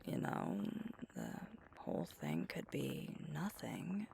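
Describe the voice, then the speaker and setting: Sweet alpha werewolf girlfriend for ASMR roleplay, played by a woman: soft, breathy, heavy vocal fry, unhurried and thoughtful, over background ambience. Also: a bass voice, huge pitch swings, a little hiss or hum, heavily acted. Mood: hesitant, musing. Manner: soft-spoken, often breathy and hushed, musing hesitantly. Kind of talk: ASMR roleplay, intimate one-sided conversation.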